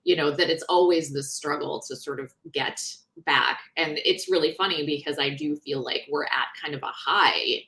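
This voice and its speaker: Bright-voiced woman in a roomy space. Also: deep voice, normal speed, hardly any pauses. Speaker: author, interview guest. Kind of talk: conversational book interview. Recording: roomy, echoing sound.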